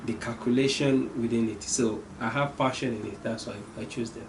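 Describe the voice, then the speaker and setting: A man's voice: medium pitch, fairly even intonation, fairly quick, background noise. A man from Nigeria, a company owner, answering interview questions earnestly with frequent hesitations. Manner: earnest, hesitant with many ums.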